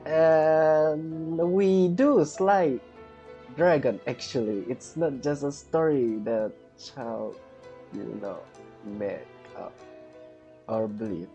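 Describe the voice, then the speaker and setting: Gamer commentator: woman, low and unhurried, casual, with game music faintly behind. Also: dark tone, dull audio. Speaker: game player commenting on a playthrough.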